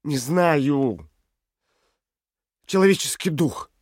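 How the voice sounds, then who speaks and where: Defiant prisoner, high-pitched man. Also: expressive, very slow, no room sound, studio quality, heavily acted. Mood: weary conviction. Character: desperate, defiant male prisoner, weakened but idealistic. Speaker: actor in an audio play. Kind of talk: dramatic audio play dialogue.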